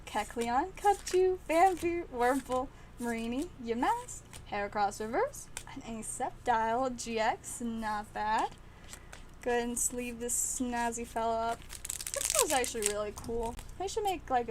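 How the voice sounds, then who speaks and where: Chatty woman with a raspy voice. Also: a little high, a little hiss or hum. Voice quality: slightly raspy, strained voice. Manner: chatty and excitable, with frequent laughs. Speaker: card-opening livestream host. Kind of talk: casual livestream chat while opening packs.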